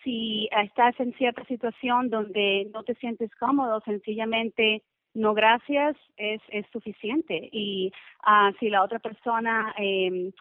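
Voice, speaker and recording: A woman's voice: on the high side, fairly even intonation, slow, dry recording. A woman, a podcast guest chatting casually over a call, searching for words and slipping into English. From Venezuela. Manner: hesitant word-searching, slips into English. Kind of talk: casual interview chat. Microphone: remote call. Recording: muffled narrow-band call, dry.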